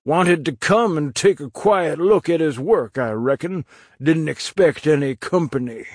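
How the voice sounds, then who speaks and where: A man's voice: a little high, lively intonation, unhurried, husky, no room sound, studio quality, pitched above the performer's own voice. A man voicing a scornful male bystander in a storybook reading.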